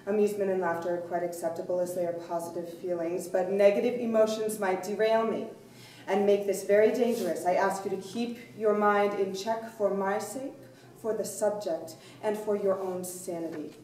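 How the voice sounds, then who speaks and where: A woman playing a grave, commanding elderly blind soothsayer in a staged play reading, speaking formally and deliberately. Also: medium pitch, steady tone, mellow, close to an everyday voice. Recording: echoey room, distant mic, faint noise.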